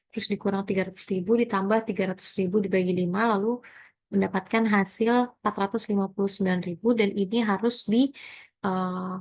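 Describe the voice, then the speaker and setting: A young woman giving an informal step-by-step tutorial, halting and hesitant as she thinks aloud. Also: mid-range voice, a little mellow, no room sound, low-quality recording. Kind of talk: informal accounting tutorial walkthrough.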